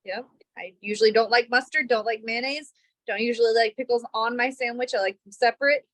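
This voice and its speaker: A woman's voice: a little high, steady tone, a little fast, fairly bright tone, no room sound. A woman co-hosting a podcast, chatting in an easygoing, agreeable way over a video call. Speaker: podcast co-host. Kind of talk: casual chat. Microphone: recorded over a video call.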